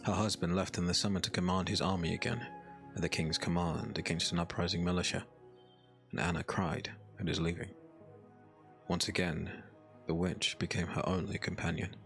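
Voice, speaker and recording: A man narrates a dark fantasy audiobook in a deep voice with gravelly fry. His delivery is measured, scripted storytelling, with faint music underneath. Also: flat delivery.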